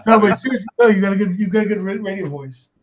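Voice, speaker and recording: A man chats wryly on a voice call. His voice sits high for a man. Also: very fast, highs cut off. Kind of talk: casual group chat.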